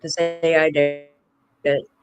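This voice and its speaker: A co-host joins a relaxed evening chat, speaking at a middle pitch. The voice is soft, and the replies are mostly brief and easygoing. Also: takes it slowly, music in the background. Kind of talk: casual chat.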